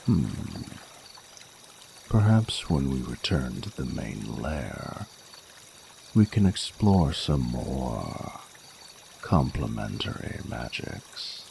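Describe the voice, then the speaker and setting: Man voicing an extremely deep, gravelly, flirtatious dragon. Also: very animated, a little mellow, a little hiss or hum, heavily acted. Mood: admiring, encouraging. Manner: formal, flowery, unhurried, soothing speech. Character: ancient-sounding flirty male dragon, tender, courtly lover. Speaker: voice actor in audio roleplay. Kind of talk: slow intimate romantic ASMR roleplay.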